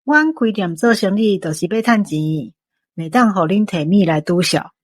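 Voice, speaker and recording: A woman's voice: medium pitch, lively intonation, moderate pace, slightly rough, no room sound. A woman playing a shrewd, fair-minded restaurant boss in a Taiwanese-accented radio drama, businesslike and authoritative. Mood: stern. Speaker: voice actor in an audio drama.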